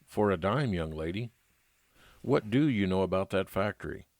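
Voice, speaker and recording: Noir radio lead: wry, weary male detective, played by a man, low voice, dry close sound. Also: animated, normal speed, a little mellow, dry recording. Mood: polite, probing. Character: world-weary, wry male private investigator narrating his case. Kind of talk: old-time radio noir narration and dialogue.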